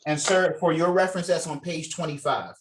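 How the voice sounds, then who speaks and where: A man's voice: on the high side, steady tone, a little fast, slight background noise. A man leading a table read, mixing informal rehearsal talk with reading out stage directions. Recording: distant mic on online call.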